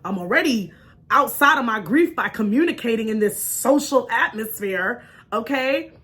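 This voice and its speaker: A woman talk show host telling a personal story in an expressive, chatty, informal way, acting out bits of dialogue and checking in with her listeners. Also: fairly high pitch, normal speed. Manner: punctuates points with check-in questions like "right?". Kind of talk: animated personal storytelling to an online audience.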